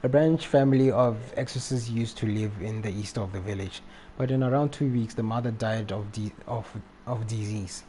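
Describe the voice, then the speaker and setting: A man live streaming a video game, reading text aloud and commenting casually in a halting way. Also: on the low side, dark tone. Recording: faint background noise, game ambience.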